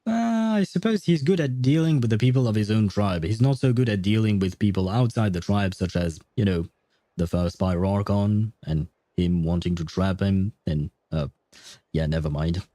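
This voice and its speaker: Mellow male game streamer. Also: fairly low pitch, moderate pace, no room sound, clean studio sound. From France. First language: French. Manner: rambling, chatty, answers chat as he goes. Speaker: game livestreamer. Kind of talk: casual chat with viewers while playing. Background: faint game music.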